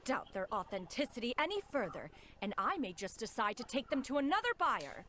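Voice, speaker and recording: An actress playing a haughty ancient crane adept in human form, speaking in imperious, archaic formal phrases for a video game. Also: female voice, on the high side, sing-song, brisk, background noise. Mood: indignant, proud. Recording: game audio with music behind.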